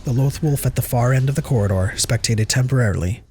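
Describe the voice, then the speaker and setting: A man's voice: mid-range voice, fast, darkish tone, slight background noise. A man narrating a fan audio drama in a scripted, dramatic storybook style. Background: prominent music.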